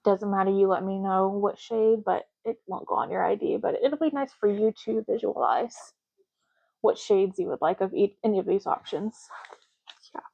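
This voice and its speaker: A soft-spoken woman doing an ASMR roleplay as a clerk, talking casually and matter-of-factly in unhurried short phrases with pauses. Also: medium pitch, gravelly, lo-fi sound. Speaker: ASMR roleplay host, playing an intake clerk making ID cards.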